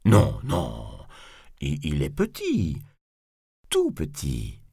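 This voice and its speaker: A man's voice: a bass voice, huge pitch swings, takes it slowly, husky, no echo, clean studio sound, voice pushed down, heavily acted. A man voicing a timid, polite townsman, hesitant and meek, in a storybook. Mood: nervous, flustered.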